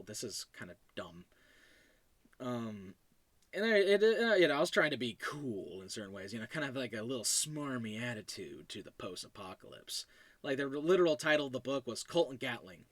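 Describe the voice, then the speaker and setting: Casual, rambling man, low-key podcast host. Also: fairly bright tone, no room sound. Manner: self-deprecating, digressive, chuckling. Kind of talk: casual rambling solo talk about own fiction.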